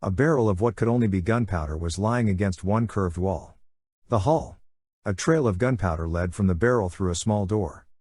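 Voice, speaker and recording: A man's voice: deep voice, normal speed, a little mellow, husky, dry recording, studio quality. A man reading first-person fiction as a dramatic, intense audiobook narration.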